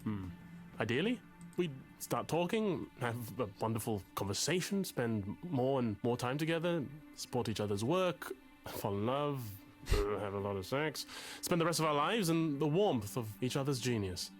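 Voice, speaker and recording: A man's voice: mid-range voice, lively intonation, moderate pace, faint music behind, lightly acted. An actor playing a pompous, anxious, lovesick male literature student in a video game, speaking in a verbose, formal and hesitant way. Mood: earnest, anxious. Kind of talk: visual novel game voice acting.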